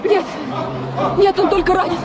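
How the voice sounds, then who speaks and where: Very high-voiced distraught woman. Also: little pitch movement. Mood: distraught, pleading. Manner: high, emotional, drawn-out cries. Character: distressed, pleading woman at a street protest. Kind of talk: radio drama acting. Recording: outdoor crowd behind.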